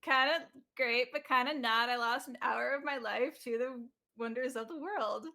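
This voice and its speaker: Higher-voiced woman in casual banter. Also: moderate pace, bright, forceful tone. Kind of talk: casual group chat.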